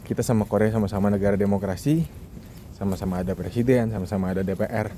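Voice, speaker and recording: A man's voice: on the low side, fairly even intonation, fast talker, mellow, slight background noise. A young man from Indonesia talks casually in a joking monologue vlog, mixing in English words. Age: young adult. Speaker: vlogger, international student.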